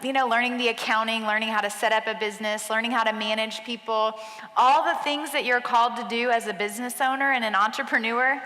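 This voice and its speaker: A woman's voice: a little high, fairly even intonation, fast, bright, forceful tone. A woman chatting casually and informally on a live panel. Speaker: entrepreneur panelist, dance teacher. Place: large event hall on stage. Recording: echoey hall with long reverb.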